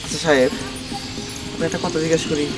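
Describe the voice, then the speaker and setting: A man acts as a cowardly, meddling servant in a comedy drama. His voice is high and quick, deferential yet chatty, with a Bangladeshi accent. Music runs behind the speech. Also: fairly even intonation, close to an everyday voice. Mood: curious. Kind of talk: Bengali comedy drama acting.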